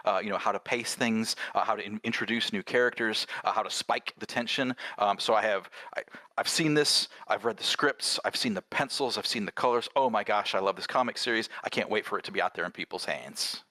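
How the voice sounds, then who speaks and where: Bright-voiced man chatting enthusiastically. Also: fairly low pitch, brisk, dry recording. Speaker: game creator. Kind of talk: casual livestream chat about creative work.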